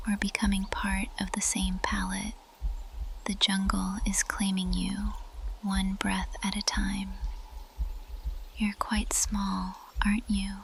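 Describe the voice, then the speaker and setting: An ancient, possessive plant monster woman, acted by a woman for ASMR, whispers close to the mic. Her delivery is slow, breathy and even, teasing her prey with quiet menace. A light bed of background noise sits behind the voice. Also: medium pitch, poor audio. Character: seductive, possessive female carnivorous plant monster, ancient predator. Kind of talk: ASMR roleplay monologue. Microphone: close microphone.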